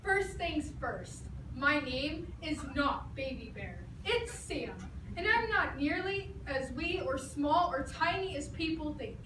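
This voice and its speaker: A woman's voice: high voice, expressive, takes it slowly, few pauses. A woman giving a scripted storytelling performance as part of readers theatre. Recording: roomy, distant mic, noisy background.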